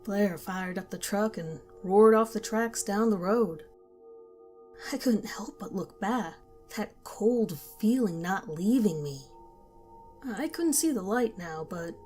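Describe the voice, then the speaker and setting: A woman narrating a first-person horror story in a calm, measured storytelling manner. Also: mid-range voice. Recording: faint background music.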